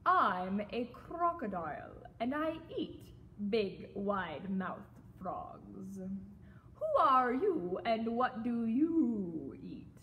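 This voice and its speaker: A woman's voice: on the high side, very animated, drawn-out words, highs cut off, a little hiss or hum, heavily acted. A woman playing a lazy, menacing female crocodile in a children's storybook, with languid, stretched-out words. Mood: lazy menace.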